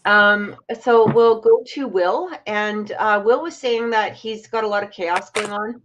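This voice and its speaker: A woman's voice: mid-range voice, a little slow, background noise. Chatty lady doing live tarot readings, kinda muffled lo-fi sound. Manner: chatty, explains cards with filler words. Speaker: psychic tarot reader, host.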